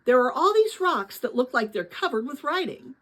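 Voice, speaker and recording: An eager boy adventurer from a storybook , acted out by a woman reader. He is urgent and impatient, with a high, husky voice that swings about in pitch. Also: normal speed, exaggerated voice. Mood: excited, breathless. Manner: urgent, excited rush. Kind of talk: storybook read-aloud character voice.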